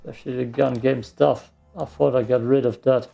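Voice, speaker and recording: A man's voice: mid-range voice, fairly even intonation, a little fast, gravelly, warm tone, soft background music. A man giving casual gameplay commentary, muttering to himself and given to swearing. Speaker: let's play streamer.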